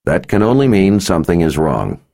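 A man voicing a big, burly male warrior and protective father who speaks in a gruff, drawling, dryly teasing way. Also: low-pitched, sing-song, fairly quick, a little mellow, full character voice. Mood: demanding.

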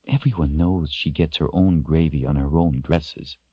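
A man's voice: very deep voice, moderate pace, slightly rough, no echo, lo-fi sound, exaggerated voice. A man voicing a small, timid, kind-hearted servant girl in a storybook narration. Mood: earnest, worried.

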